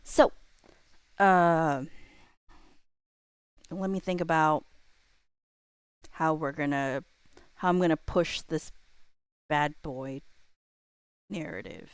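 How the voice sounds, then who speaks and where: A woman's voice: sits low, smooth, dry recording. A woman livestreamer chatting casually and thinking aloud, with a playful, self-deprecating and hesitant manner, muttering to herself as she works.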